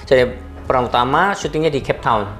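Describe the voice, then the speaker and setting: A man's voice: medium pitch, animated, normal speed, fairly bright tone, soft background music. Korean man with a Javanese-tinged lilt. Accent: Korean, with a Javanese-sounding lilt. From South Korea. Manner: joking, self-mocking storyteller. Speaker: guest. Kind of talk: casual chat.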